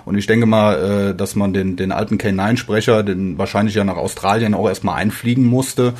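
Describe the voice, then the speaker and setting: Slightly low-voiced man, halting delivery. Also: fairly even intonation, a little mellow. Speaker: podcast guest. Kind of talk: casual fan discussion.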